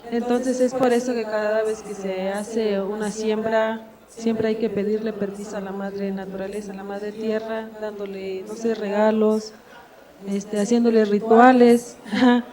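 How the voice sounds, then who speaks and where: A woman's voice: mid-range voice, level tone, a little slow. Hesitant woman with crowd around. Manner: hesitant, many fillers and restarts. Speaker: audience member asking a question. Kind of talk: spontaneous conference floor comment. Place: university conference room with audience. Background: audience in the room.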